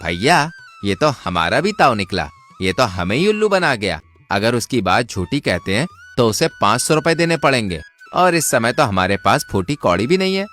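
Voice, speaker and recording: A man acts out a village trickster in a folk tale. He is theatrical and crafty, with a lively mid-range voice over soft music. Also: mid-range voice, normal speed. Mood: troubled. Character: swindler brother, village trickster and schemer. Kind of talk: Hindi folk tale dialogue.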